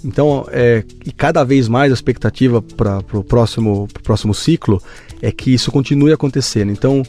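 A Brazilian man who runs a company, answering interview questions in an earnest, measured way with frequent hesitations. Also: mid-range voice, warm tone, faint music behind. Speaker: company founder, guest.

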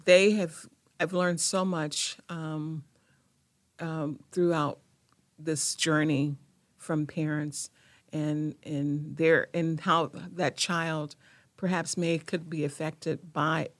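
A woman, a guest representing a foundation, speaking in quick, halting bursts with frequent restarts. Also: low voice, flat delivery, no echo. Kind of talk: casual interview conversation.

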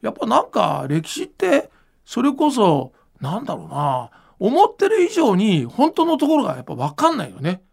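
Animated high-pitched man with a creaky voice. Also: normal speed. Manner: animated, musing, informal. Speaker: podcast host and manga creator. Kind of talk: casual explanatory chat.

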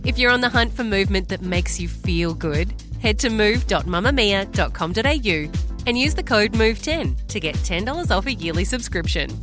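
A woman's voice: mid-range voice, lively intonation, moderate pace. A woman reading a scripted ad for a fitness app. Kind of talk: scripted ad read. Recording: music bed behind voice.

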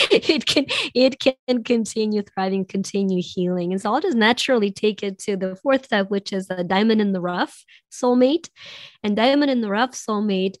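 Raspy-voiced woman coach, reflective. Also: medium pitch, a little mellow, no echo, clean studio sound. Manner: reflective, explains with many "you know" asides. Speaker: possibility coach. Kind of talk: podcast conversation on soulmates.

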